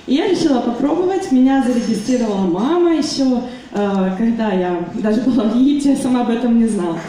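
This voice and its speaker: A woman's voice: a little high, expressive, quick pace, mellow, far from the mic, slight background noise. Hesitant young woman speaking in echoey hall. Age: 20s. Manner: hesitant, many fillers and restarts. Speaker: business meeting speaker. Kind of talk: public speech at a meeting. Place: meeting hall.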